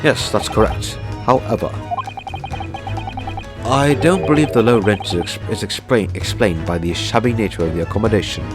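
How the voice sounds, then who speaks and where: A man doing a grandiloquent gentleman detective. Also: fairly low pitch, normal speed, natural voice. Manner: grandiloquent, theatrical. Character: eccentric, pompous gentleman detective, male. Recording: game music behind.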